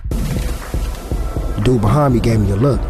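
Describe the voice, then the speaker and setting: Casting: conflicted young football player, understated and confessional, audio drama narration and dialogue, scored with music. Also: male voice, on the low side, slow pace. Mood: tense, recounting. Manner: casual, understated, slangy.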